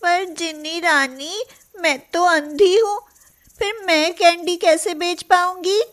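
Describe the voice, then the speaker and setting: A woman voicing a poor, sweet young girl in an animated moral storybook, earnest and exclaiming. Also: very high-pitched, slow, pitched above the performer's own voice, full character voice. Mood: pleading. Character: poor young sisters, sweet girls in wonder or worry.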